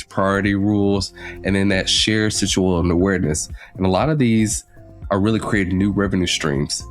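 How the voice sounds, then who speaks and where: A man co-hosting a podcast, giving technical explanations in an orderly way, point by point. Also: deep voice. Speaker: podcast co-host.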